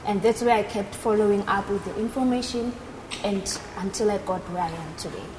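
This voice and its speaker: A woman's voice: mid-range voice, brisk. A woman in her twenties with a South African accent, explaining things as an interview guest. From South Africa, Northern Cape. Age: 20s. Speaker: interview guest, aircraft maintenance engineer. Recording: noticeable background noise at live event.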